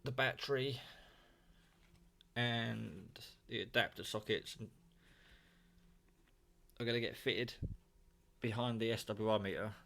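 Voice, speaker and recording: A British man with a fairly low voice presents a homemade project from his kitchen. He speaks in an informal, rambling, unscripted way, with the odd mild swear word, like a hobbyist talking to his viewers. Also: fairly even intonation, takes it slowly, creaky, pauses often, a bit bright. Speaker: hobbyist vlogger presenting own radio build. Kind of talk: casual unscripted demonstration talk. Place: home kitchen.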